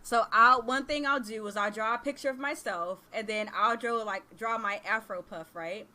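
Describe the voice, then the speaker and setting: A woman in her thirties, a kindergarten teacher hosting a casual chat over a video call, animated and expressive, laughing often. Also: fairly high pitch, moderate pace. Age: 30s. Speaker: kindergarten teacher and podcast host.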